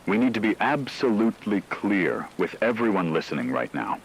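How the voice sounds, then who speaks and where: A man playing a grave radio news anchor, delivering a scripted catastrophe bulletin in a solemn, measured, dramatic way over steady hiss. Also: on the low side, rough recording. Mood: grave, urgent.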